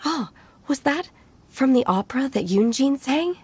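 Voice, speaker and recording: A woman playing a composed, gentle, earnest adventurer in a video game, speaking in a measured, formal way. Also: sits high, animated, background noise. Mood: wistful.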